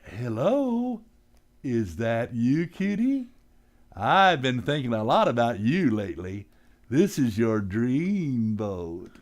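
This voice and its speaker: An actor playing a blustery, bumbling, well-meaning working-class dad in a live old-time radio comedy, with folksy, ungrammatical, theatrical talk. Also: male voice, medium pitch, very animated, slow. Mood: smooth-talking flirt.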